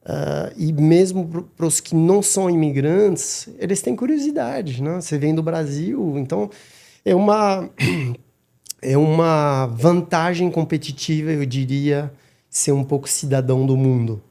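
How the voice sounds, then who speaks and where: A middle-aged man, a guest on a podcast, talking casually and haltingly with many restarts and repeated words. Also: on the high side, gravelly, warm tone. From Madagascar. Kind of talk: casual interview talk.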